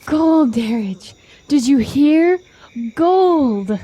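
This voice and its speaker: A woman's voice: high-pitched, expressive, very slow, dark tone, full character voice. A woman playing a naive, yearning young traveler in a fantasy audio drama, speaking in a wide-eyed, wistful, formal way. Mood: enchanted.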